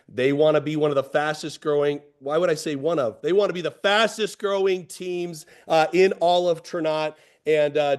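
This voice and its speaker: A man giving an excited, motivational address to a sales team, his voice hoarse from talking, repeating phrases and using many fillers. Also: fairly high pitch. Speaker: company executive.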